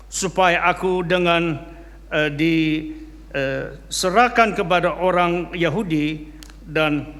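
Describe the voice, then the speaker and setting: A man's voice: high voice, fairly bright tone, big-room sound. A man preaching in Indonesian with a non-native accent, his first language English, leading a prayer and reading scripture aloud with frequent hesitations. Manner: slow, halting, with frequent hesitations. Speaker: guest preacher.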